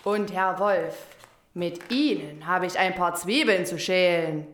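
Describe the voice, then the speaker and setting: A woman's voice: fairly low pitch, slow pace, fairly bright tone, reverberant. An actress playing a crude, suspicious and proud old woman neighbour in a radio crime play, brusque and accusatory. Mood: proud, grim.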